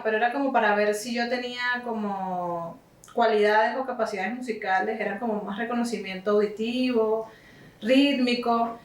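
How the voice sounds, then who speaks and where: A Venezuelan woman in her thirties, a music teacher telling her story in an unhurried way, with frequent "este" fillers. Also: on the high side. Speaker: guest, violist and music teacher. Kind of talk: relaxed conversational storytelling. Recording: roomy echo, distant mic, faint music.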